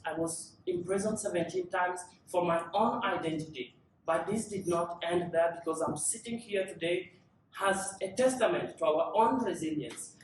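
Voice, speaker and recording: A man's voice: high-pitched, slight background noise. Earnest young man in an echoey hall. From South Sudan. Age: 20s. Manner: earnest, measured, with deliberate pauses. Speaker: panel speaker. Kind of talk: testimony at a panel event. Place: large conference room. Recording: echoey, distant conference room recording.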